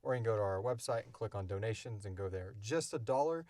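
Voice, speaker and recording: A man's voice: lowish voice, lively intonation, quick pace, darkish tone, dry recording. A man hosting a podcast, answering questions in a casual, informal, rambling way. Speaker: podcast host and audio drama creator.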